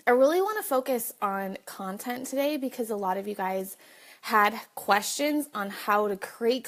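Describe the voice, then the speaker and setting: A woman hosting a casual live video, chatting directly to viewers, with a slight cough. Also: a little high. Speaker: livestream host.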